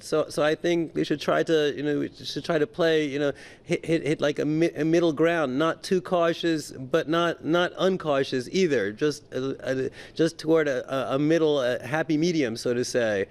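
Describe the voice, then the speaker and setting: Man, fairly high smooth voice, political commentator, halting, stammering, repetitive phrasing. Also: darkish tone. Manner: halting, stammering, repeats words. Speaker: journalist and political commentator, interview guest.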